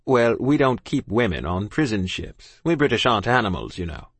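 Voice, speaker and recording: A man's voice: lowish voice, sing-song, moderate pace, slightly rough, no echo, full character voice. Storybook officer, performed by a man: British military, haughty, curt, bad-tempered, used to being obeyed. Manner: curt, imperious, barking.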